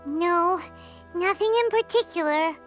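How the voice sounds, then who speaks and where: A woman voicing a tiny, excitable childlike fairy companion in a video game, squeaky and perky with exaggerated delivery. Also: unhurried, soft background music. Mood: matter-of-fact. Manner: perky, exaggerated, speaks of herself in third person. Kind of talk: animated game character acting.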